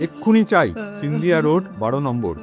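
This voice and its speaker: An awkward, impatient grown son from a radio play. He talks quickly in clipped phrases with a lively, mid-pitched voice. The audio is a bit dull, with music behind. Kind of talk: Bengali radio drama acting.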